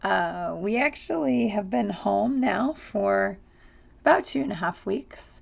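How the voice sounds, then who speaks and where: A woman with a hoarse, raspy voice, chatting casually in a travel vlog with frequent ums and uhs. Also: mid-range voice, expressive, takes it slowly. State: hoarse from screaming. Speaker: travel vlogger.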